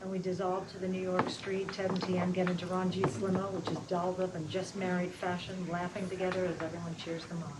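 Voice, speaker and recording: A woman's voice: fairly low pitch, completely flat delivery, a little fast, few pauses. A woman reading scripted screen directions aloud in a plain, matter-of-fact manner at a table read. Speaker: reader of stage directions. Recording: very noisy live room recording.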